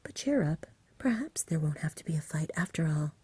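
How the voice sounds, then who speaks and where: A grave, good-natured saintly knight, whispered by a woman, speaking in formal storybook phrases. The voice is soft, very husky and mellow. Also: sits low, expressive, a little fast, dry recording, heavily acted. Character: courteous, melancholy saintly knight with grandiose speech. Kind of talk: whispered ASMR storybook reading.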